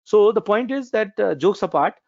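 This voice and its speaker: A man's voice: high voice, expressive, moderate pace, creaky, a little mellow, no room sound, lo-fi sound. A man from India teaching a recorded training lecture, with many fillers and restarts. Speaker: corporate trainer.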